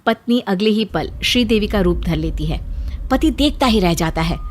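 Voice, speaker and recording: A woman's voice: mid-range voice, lively intonation, normal speed. A woman narrating a scripted story.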